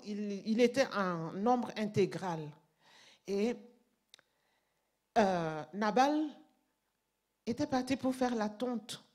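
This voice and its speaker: A woman preaching a sermon in French, which is not her first language, reading scripture and explaining it emphatically, sometimes rising in volume. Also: medium pitch. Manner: slow, emphatic, rising to loud passages.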